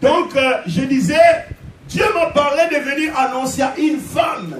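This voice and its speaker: A man delivers an impassioned sermon, his voice sitting extremely high for a man. He shouts and hammers phrases over and over. The sound is echoey and distant, with noise underneath. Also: a little slow. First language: Portuguese. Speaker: pastor. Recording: echoey room, distant mic, background noise.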